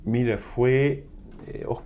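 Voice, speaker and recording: A middle-aged man from Chile, raised in France, recalling his life in a halting, reflective way and repeating short phrases. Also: mid-range voice, fairly even intonation, narrow, tinny audio, slight background noise. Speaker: interview guest, doctor. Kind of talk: reflective personal recollection.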